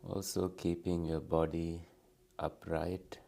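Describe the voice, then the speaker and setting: A man leading a guided meditation as a teacher, speaking gently and soothingly in short phrases with long pauses between them. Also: deep voice, level tone, creaky.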